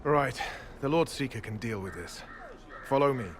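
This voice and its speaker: An actor playing an earnest, dutiful young templar knight in a video game. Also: male voice, medium pitch, lively intonation, moderate pace, close to an everyday voice. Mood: dutiful, measured. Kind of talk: video game dialogue acting. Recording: clear background ambient noise.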